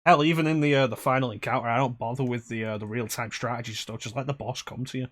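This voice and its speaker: A man's voice: mid-range voice, fairly even intonation, quick pace, fairly bright tone. Rambling, self-deprecating male streamer. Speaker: streamer host. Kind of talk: casual chat while gaming.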